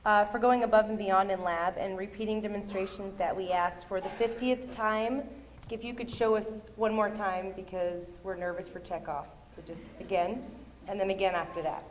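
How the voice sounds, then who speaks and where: A woman reads a sincere thank-you speech in a steady voice, adding a few light jokes. Faint noise sits behind her. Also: medium pitch, normal speed, hardly any pauses. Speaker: nursing graduate giving a speech.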